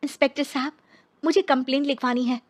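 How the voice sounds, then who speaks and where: A woman's voice: high voice, fairly even intonation, fast, lightly acted. A woman playing an earnest, wronged young divorced woman in a dramatised story. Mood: aggrieved.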